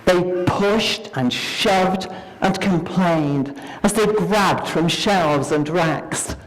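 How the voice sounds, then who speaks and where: A woman giving a dramatic, expressive reading of a scripted story, with deliberate pauses. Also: on the low side, poor audio.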